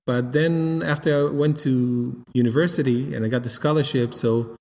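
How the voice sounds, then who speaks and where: Man in his fifties from Israel, halting chatty delivery, long reverb. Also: medium pitch, steady tone, gravelly, darkish tone. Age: 50s. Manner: halting, repeats words while searching for them. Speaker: winemaker guest. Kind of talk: casual interview.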